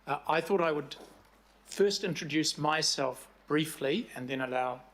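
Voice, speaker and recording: A man in his sixties with a New Zealand accent, presenting to a council committee as a commission chair in a measured way, with frequent fillers. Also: fairly high pitch, fairly bright tone. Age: 60s.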